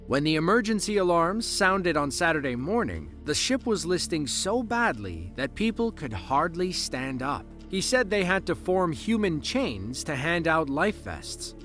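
A man narrating a scripted documentary in a lively storytelling way with dry asides. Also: fairly high pitch. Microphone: voice-over, studio mic. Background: soft background music.

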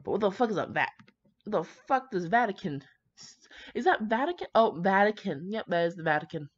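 A woman's voice: mid-range voice, animated, a little fast. Brash young woman, muffled lo-fi recording. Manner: brash, slangy, profane. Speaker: fan fiction reader. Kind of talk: reading fan fiction aloud with casual commentary.

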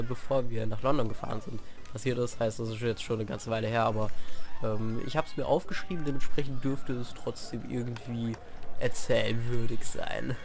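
A young man with a cold, chatting through gameplay as a let's play host, with sudden shouted outbursts, recorded on a sofa at home. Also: medium pitch. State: has a cold, clears throat. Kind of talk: gameplay commentary. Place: living room at home, on a sofa. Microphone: desk mic propped on games on the sofa. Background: faint game music.

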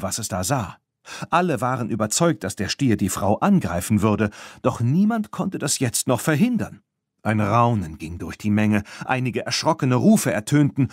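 A man narrating a children's audiobook, reading the scripted story in an expressive storytelling manner. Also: mid-range voice, normal speed, husky, no room sound, studio quality. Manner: expressive storytelling with voiced dialogue.

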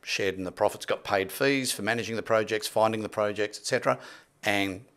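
Older guy in his 60s, low voice, telling stories on a podcast, punchy emphatic delivery, studio mic. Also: brisk, a bit bright. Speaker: podcast guest, property development expert. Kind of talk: conversational storytelling interview. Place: small indoor podcast studio. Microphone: close boom-arm studio microphone with headphones.

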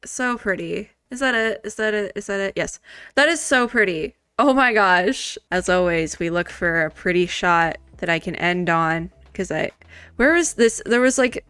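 A woman giving casual, gushing reaction commentary, rambling excitedly and peppering it with "like". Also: medium pitch, normal speed, clear, resonant tone, no room sound. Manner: excitable, rambling, heavy use of "like". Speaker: video creator reacting to a game trailer.

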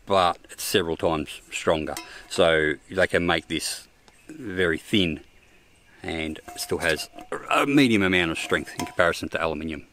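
An older man with an Australian accent talks to the camera in a deep, low voice, standing outdoors in open bushland. He reviews his camping gear in a plain, unpolished way, full of ums and restarts. The recording carries a faint hiss of background noise. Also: a bit bright. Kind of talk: casual gear review vlog.

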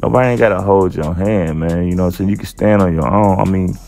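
A man's voice: low voice, quick pace, dark tone, soft background music. A man hosting his own channel, reading a news article aloud and then giving his casual, drawling opinion with lots of fillers. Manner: laid-back, drawling, with many fillers. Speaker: channel host.

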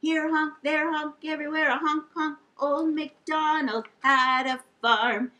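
A middle-aged woman with a high voice hosts a children's storytime. She is animated and encouraging, moving between singsong verses and simple directions for little listeners. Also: unhurried. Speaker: children's library storytime host. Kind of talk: sing-along storytime for young children. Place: indoor lounge with couch and fireplace.

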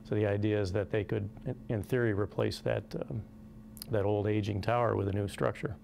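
A man in his forties with an American accent, giving halting, measured explanations in an interview. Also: on the low side, steady tone, vocal fry, a little mellow, a little hiss or hum. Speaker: company representative being interviewed. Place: small indoor conference room. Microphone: lapel.

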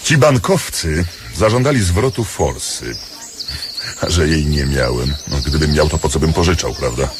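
A man's voice: very deep voice, animated, normal speed, noisy background. A man acting in a radio play as a bankrupt industrialist who poses as a whining beggar, switching between polite speech and folksy wailing. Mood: polite, resigned. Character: bankrupt male industrialist playing a loud, whining deaf beggar.

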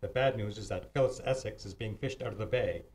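An actor playing a teasing, cocksure police homicide detective in an old-time radio mystery, with smug, clipped delivery. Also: male voice, on the low side, brisk, darkish tone, slightly rough. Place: theatre stage, live performance. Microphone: stand mic on stage.

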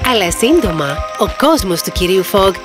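A woman's voice: medium pitch, sing-song, over loud music. A woman reading scripted storybook narration as the narrator.

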